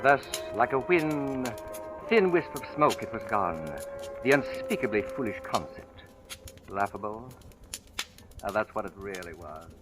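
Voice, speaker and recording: An actor playing a brooding storyteller in an old-time radio horror drama, narrating with deliberate, suspenseful, theatrical phrasing. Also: male voice, fairly low pitch, lively intonation. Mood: wry relief. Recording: vintage radio recording with music behind. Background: dramatic music.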